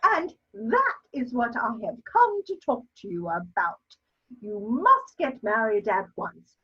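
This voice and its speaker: A woman's voice: a little high, big pitch swings, a little slow, husky, heavily acted. A woman playing an imperious, scolding elderly aristocratic mother in a theatrical period comedy reading, emphatic and haughty. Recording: dull lo-fi sound.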